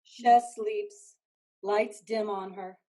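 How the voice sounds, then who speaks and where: A woman narrates a staged play reading. She delivers scripted directions slowly. Her pitch is average for a woman. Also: steady tone, dark tone.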